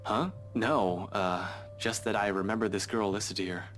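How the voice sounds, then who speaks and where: A young male investigator in a visual novel with a very deep voice. He comes across guarded and dry, clipped in his game dialogue. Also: steady tone, a little slow, a bit bright. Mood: troubled.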